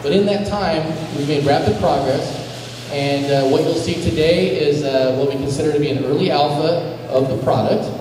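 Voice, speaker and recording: A man's voice: a little high, moderate pace, darkish tone, a little hiss or hum. An American man, a studio head, introducing a product to an audience with a handheld mic, speaking casually with many fillers. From United States. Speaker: studio president presenting. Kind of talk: presentation to an audience. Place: large hall with flag backdrop. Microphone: handheld mic, recorded by a far camera. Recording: echoey hall recorded from far off.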